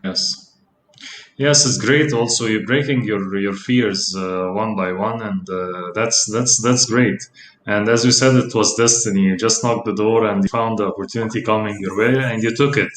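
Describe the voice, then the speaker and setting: A man hosting a live online interview, affirming his guest and chiming in with quick yeses. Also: fairly low pitch, fairly bright tone. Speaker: host. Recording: echoey room with faint ambience.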